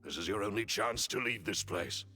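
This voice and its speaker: A man voicing a loyal retainer in a video game, gravelly and courtly with a wry, teasing manner. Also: lowish voice, animated, normal speed, sharp tone, faint music behind. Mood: wry, fond farewell.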